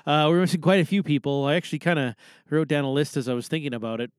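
A man's voice: on the high side, very fast, smooth, no echo, clean studio sound. A Canadian man hosting a podcast, talking in a casual, rambling, self-deprecating way. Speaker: podcast host. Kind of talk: casual rambling solo chat. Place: small recording booth.

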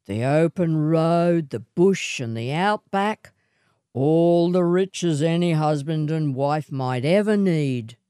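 A woman voicing a scruffy male wanderer and suitor in a storybook, boastful and coaxing, with measured delivery. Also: low voice, warm tone, clear, resonant tone, dry recording, clean studio sound. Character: scruffy swagman suitor, boastful, unhurried, persistent.

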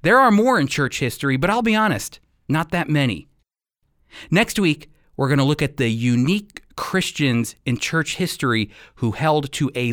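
Male host, mid-pitched, earnest and emphatic, teaching Bible history in a dry studio sound. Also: fairly bright tone, dry recording.

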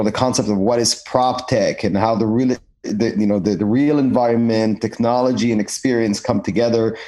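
A man's voice: on the low side, mellow. A man, a company cofounder, speaking earnestly and reflectively in a panel conversation, with many fillers.

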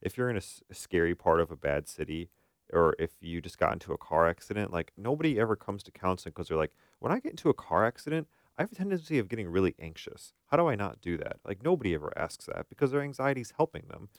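A man's voice: low-pitched, lively intonation, quick pace, no echo, studio quality. A man co-hosting a talk show as a therapist, giving casual, explanatory advice and often asking questions to check for agreement.

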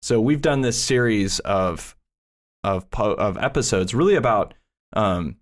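A man co-hosts a podcast in a relaxed, conversational style. His voice sits fairly low and moves up and down in a lively, animated way. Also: measured pace. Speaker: podcast co-host. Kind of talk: casual conversational podcast talk.

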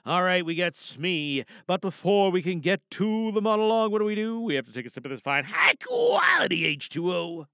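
Male podcast host, very bright and high, swinging pitch, jokey theatrical chat. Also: big pitch swings, fairly quick, vocal fry, hardly any pauses, no room sound, clean studio sound. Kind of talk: chatty show introduction.

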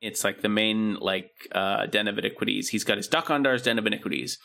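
A man's voice: on the low side, fairly quick, gravelly, bright, forceful tone. A man co-hosting a podcast, chatting casually and rambling with many fillers and restarts. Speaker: podcast co-host. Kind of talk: casual chat.

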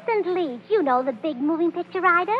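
An actress playing a sweet, scatterbrained, meddling young wife in a 1930s radio comedy, chattering earnestly and hesitantly. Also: female voice, very high-pitched, lively intonation, quick pace. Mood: scheming, delighted. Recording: muffled, hissy 1930s radio recording.

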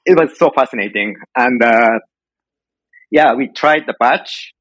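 A middle-aged man from Japan with a Japanese accent, telling stories casually as an interview guest in halting, informal phrasing. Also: mid-range voice, a bit bright, no room sound, low-quality recording. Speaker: podcast guest, home brewer.

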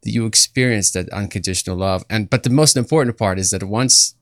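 Deep, gravelly man from Ukraine. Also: a little fast, no echo, studio quality. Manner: earnest, repeats words while searching for them. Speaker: interview guest. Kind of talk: personal testimony in an interview.

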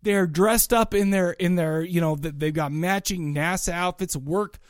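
A man's voice: sits high, normal speed, clean tone, dry recording, clean studio sound. A man chatting casually as a podcast co-host, talking in an enthusiastic, rambling, colloquial way about music. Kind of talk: casual chat, music review.

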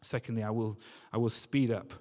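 A man's voice: fairly low pitch, fairly even intonation, a little fast, dark tone. A man preaching a church sermon in an earnest, emphatic way, repeating key phrases. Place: large reverberant church or hall. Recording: long hall reverb.

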